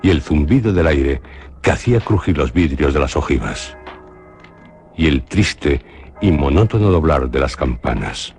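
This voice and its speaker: Brief: solemn male narrator from Spain, extremely deep voice, radio drama narration. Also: sing-song, vocal fry, a little mellow, soft background music. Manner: measured, solemn storytelling.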